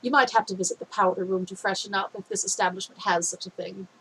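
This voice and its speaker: A phlegmy-voiced woman voicing a gentle, formal older male caretaker who speaks softly and fondly. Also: mid-range voice, steady tone, fast, slightly rough, low-quality recording. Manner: soft-spoken, old-fashioned, fond.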